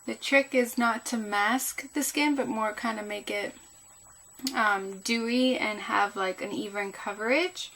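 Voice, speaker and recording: A woman's voice: fairly high pitch, steady tone, a little slow. A woman chatting casually through a makeup tutorial as a beauty vlogger, sounding a little groggy and tired. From Canada. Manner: chatty, rambling.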